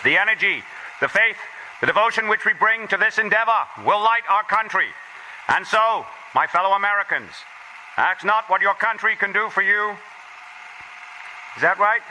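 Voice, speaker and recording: A man's voice: high voice, moderate pace, pauses often, pushed and bright, some chatter behind, slight background noise, poor audio. An actor playing an earnest American statesman giving a speech, oratorical but easily distracted, in a radio comedy sketch. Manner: oratorical, drifting into childlike puzzlement.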